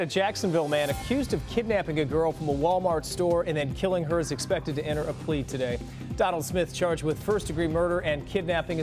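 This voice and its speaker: Male morning news anchor over music. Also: fairly high pitch. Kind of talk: scripted news reading.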